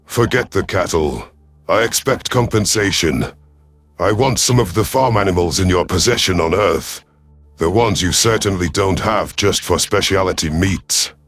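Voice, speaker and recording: A man voicing a cold, calculating alien predator commander in a sci-fi audio story, imperious and menacing, with a rough husky voice. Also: low voice, a little slow, fairly bright tone, full character voice. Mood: imperious, threatening. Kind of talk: dramatic first-person sci-fi audio story.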